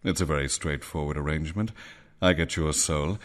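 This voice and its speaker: An actor playing a smooth, sinister male devil who coaxes a man into trading his soul, speaking slowly and silkily. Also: extremely low pitch. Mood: silky menace. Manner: slow, silky, coaxing, menacing. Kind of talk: radio drama acting.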